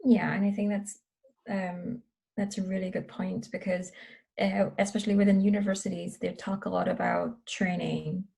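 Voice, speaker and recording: Gravelly, husky woman talking thoughtfully. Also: medium pitch, flat delivery, dark tone. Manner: thoughtful, pauses with many fillers. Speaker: researcher guest. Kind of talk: casual panel discussion.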